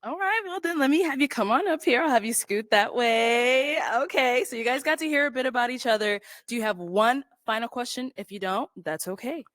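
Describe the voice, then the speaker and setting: A woman's voice: a little high, fairly quick, hardly any pauses, a bit bright, no room sound. Upbeat female game host guiding contestants, close dry sound. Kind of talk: hosting a live dating game.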